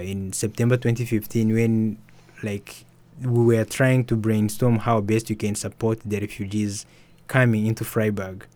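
A young man, an author and musician, telling his story softly and hesitantly in an interview. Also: on the low side. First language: Swahili. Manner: soft-spoken, hesitant with restarts and fillers. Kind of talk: reflective personal storytelling.

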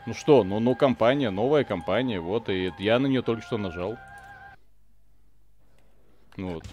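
A man's voice: on the low side, a little slow, a little mellow, faint music behind. A man hosting a live video game stream, chatting casually in slangy, joking language with mild expletives.

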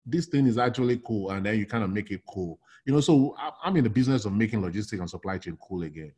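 A middle-aged man from Nigeria, a logistics consultant talking casually in an interview, animated and full of restarts and "you know". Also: on the low side, fast talker, breathy edge.

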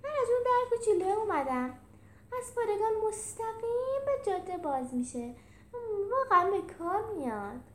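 A woman voicing a naive, earnest young male giraffe with a halting, eager manner in a storybook reading. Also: very high voice, big pitch swings, pitched above the performer's own voice. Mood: cheerful. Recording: roomy echo with some background noise.

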